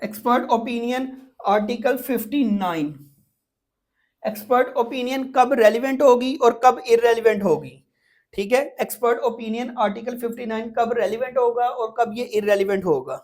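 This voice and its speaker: A woman's voice: on the high side, normal speed, darkish tone. A woman with a Pakistani accent giving an informal lecture as an exam prep teacher, explaining step by step with frequent repetition and check-ins.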